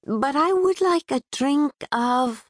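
A woman's voice: sits high, animated, slow pace, no room sound, studio quality, voice pushed up. A woman voicing a timid, petite young woman with a Pakistani accent tinged with British, speaking in a hesitant, sing-song, formal way. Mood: polite. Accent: Pakistani with a British twang.

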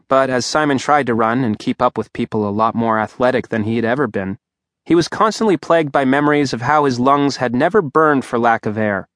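A man's voice: medium pitch, a bit bright, no room sound. A man reading an audiobook aloud in a dry, wry storytelling manner at a studio microphone. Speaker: audiobook narrator. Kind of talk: scripted narration.

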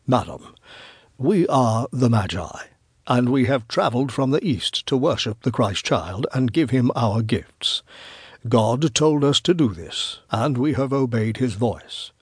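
A man voicing a wise old magus in a storybook, courteous and measured, tender yet firm. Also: medium pitch, expressive, slightly rough, dry recording, studio quality. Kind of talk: storybook narration character voice.

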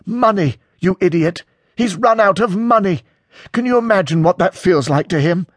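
A man's voice: on the high side, very animated, a little slow, slightly rough, no echo, studio quality, voice pushed up, heavily acted. A man voicing a bitter, hurt, quarrelsome male character in an audiobook, theatrical and cutting. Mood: urgent, angry. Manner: hysterical, cutting, mock-dramatic.

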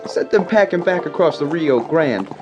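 An actor playing an earnest, respectful soldier with firm opinions in a radio drama. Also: male voice, on the high side, expressive, normal speed, muffled recording, music in the background, close to an everyday voice. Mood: earnest, concerned. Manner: respectful, eager.

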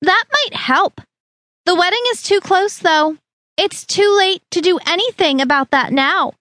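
A woman voicing a snobbish middle-aged future mother-in-law who talks in a prim, condescending, drawn-out way. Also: extremely high pitch, pushed and bright, no echo, clean studio sound, pitched above the performer's own voice, exaggerated voice.

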